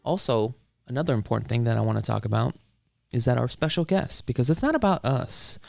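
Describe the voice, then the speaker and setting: A man's voice: medium pitch, lively intonation, brisk, gravelly, darkish tone, no room sound, studio quality, soft background music. A man hosting his own channel as a realtor, asking questions in an easygoing, chatty way. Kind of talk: casual chat.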